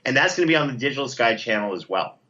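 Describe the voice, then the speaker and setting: A man with a bright, forceful voice gives a casual interview as a guest. He is enthusiastic and effusive, and the audio is a little dull and lo-fi. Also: medium pitch, expressive, very fast. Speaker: podcast producer and guest.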